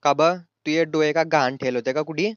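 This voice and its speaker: A man's voice: a little high, fairly even intonation, moderate pace, clear, resonant tone, dry recording, lightly acted. Man acting a brash Ahirani village brother-in-law, muffled. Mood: brash, irritated. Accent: Ahirani, rural north Maharashtra. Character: lecherous, brash brother-in-law visiting his wife's family. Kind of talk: crude comedy cartoon dialogue. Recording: slightly dull, lo-fi dry voice track.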